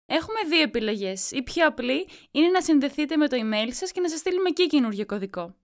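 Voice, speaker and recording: A young female shop assistant , acted by a woman, very high-voiced. She speaks politely and helpfully, in customer-service style for an audio drama. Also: sing-song. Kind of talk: amateur audio drama acting.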